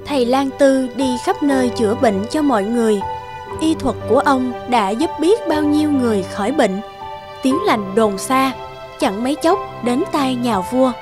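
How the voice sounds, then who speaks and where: High-pitched woman narrating a tale. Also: lively intonation, moderate pace. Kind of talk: scripted storybook narration. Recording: music behind narration.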